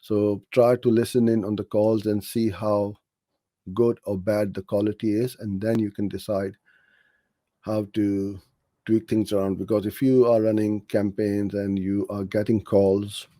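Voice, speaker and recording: A man's voice: fairly low pitch, warm tone, dry recording. A man coaching in an explanatory, off-the-cuff way, talking through practical advice with examples. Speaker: digital marketing coach answering a question.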